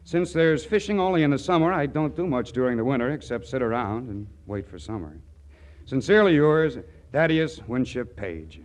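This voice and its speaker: A man's voice: medium pitch, expressive, normal speed, slight background noise, close to an everyday voice. An actor in a 1940s radio comedy playing a simple, easygoing small-town man with a guileless, folksy manner. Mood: earnest, naive.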